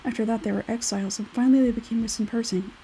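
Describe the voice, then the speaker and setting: A woman acts out an aloof young male scholar from a fantasy game. The tone is mellow and soft-edged, and the delivery is measured, detached and matter-of-fact. There is faint noise behind the voice. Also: on the high side, steady tone, natural voice, rough recording. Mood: detached, explaining. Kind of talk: video game character dialogue read aloud.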